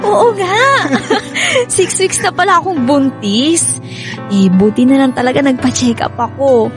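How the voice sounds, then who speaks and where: Casting: gentle young wife, high voice, coaxing and conversational, radio drama with light music. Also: female voice, expressive, unhurried. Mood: happy, tender. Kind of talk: Filipino radio drama dialogue. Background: faint music.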